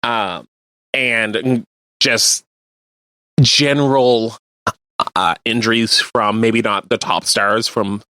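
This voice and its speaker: A man co-hosting a radio show, chatting casually about sports and explaining things in a halting way. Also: fairly low pitch, fairly even intonation, pushed and bright, clear, resonant tone, dry recording. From Canada. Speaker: radio co-host.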